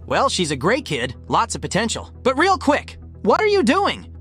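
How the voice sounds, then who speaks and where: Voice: medium pitch, huge pitch swings, normal speed, fairly bright tone, faint music behind. A performer voicing a cocky but caring young hedgehog hero in a comic dub, casual, slangy and confident.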